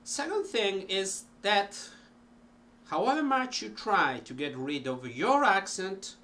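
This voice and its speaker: Slow, rambling man with an Italian accent. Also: sits high, lively intonation, pushed and bright, a little hiss or hum. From Italy. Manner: slow, rambling, many ums and restarts. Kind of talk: casual talk to camera. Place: cluttered home music room.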